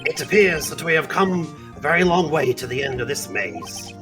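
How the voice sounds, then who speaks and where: A man's voice: a little high, expressive, normal speed, distant mic, music in the background, lightly acted. A man voicing a formal, solemn courtroom judge in a live fan dub of a video game.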